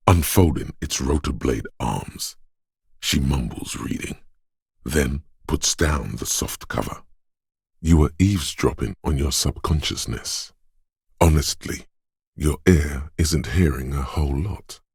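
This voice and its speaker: An actor voicing a disembodied male inner sense of perception in a game, speaking in a hushed, measured, quietly descriptive way in the second person. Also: rumbling low, breathy edge, no echo, clean studio sound, exaggerated voice.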